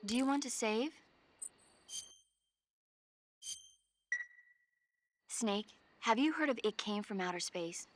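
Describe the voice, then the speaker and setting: A woman's voice: a little high, expressive, normal speed, slight background noise, close to an everyday voice. An actress playing a chatty, earnest female support officer and film buff in a video game, explaining things conversationally.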